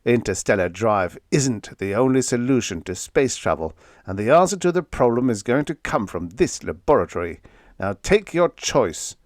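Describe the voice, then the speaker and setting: A man's voice: mid-range voice, expressive, normal speed, no room sound, studio quality, close to an everyday voice. A man voicing a brilliant, commanding male chief spaceship designer in an audiobook, speaking with emphatic authority. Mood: stern. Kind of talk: audiobook character voice.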